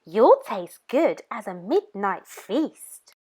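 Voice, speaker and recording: A woman voicing a bold young female monster in a storybook reading, with high excited cries. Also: slow pace, slightly rough, exaggerated voice. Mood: scornful.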